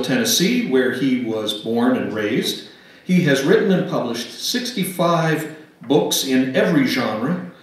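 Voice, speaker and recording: An older man reading a measured, prepared introduction of a guest speaker from a pulpit. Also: mid-range voice. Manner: measured, read from notes. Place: church sanctuary, indoors. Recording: echoey hall, distant microphone.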